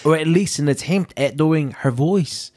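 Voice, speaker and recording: A man with a fairly high voice gives blunt, emphatic verdicts. He co-hosts a casual review chat and keeps his opinions short and firm. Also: a little slow, a little mellow. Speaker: podcast co-host.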